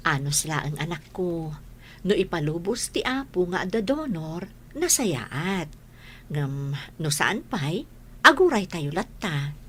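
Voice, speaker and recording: A woman playing a gentle, patient mother in a radio drama, with an Ilocano accent. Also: on the low side, sing-song, a little slow, breathy edge, full character voice. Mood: tender. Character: gentle blind boy's mother, patient and reassuring.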